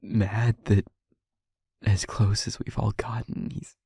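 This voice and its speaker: A man acting an angry, guilt-ridden young man haltingly confiding in a friend in an intimate audio drama. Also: lowish voice, flat delivery, warm tone, husky, no echo, clean studio sound, full character voice. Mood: angry, self-loathing. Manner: halting, emotional confession with pauses.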